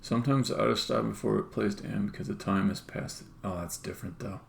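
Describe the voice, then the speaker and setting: A game developer live-streaming code, thinking aloud casually in halting, muttered fragments. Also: low voice, steady tone, gravelly. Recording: roomy echo, faint background noise. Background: computer keyboard typing and clicking.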